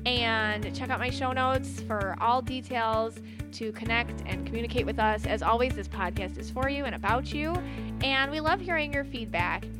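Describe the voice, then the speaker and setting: A woman's voice: a little high, moderate pace, over music. A woman hosting a podcast in casual chat, bubbly and chatty, laughing often and using lots of fillers. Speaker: podcast host.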